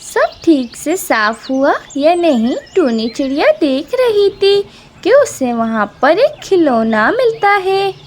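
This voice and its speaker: A woman's voice: very high voice, very animated, takes it slowly, a little hiss or hum. A woman reading scripted narration for a children's story cartoon.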